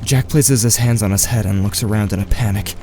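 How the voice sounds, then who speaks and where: A man playing a watchful, tentative teenage boy, giving measured first-person narration in an audio drama. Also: fairly low pitch, vocal fry, a little mellow, faint music behind. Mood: measured, tense.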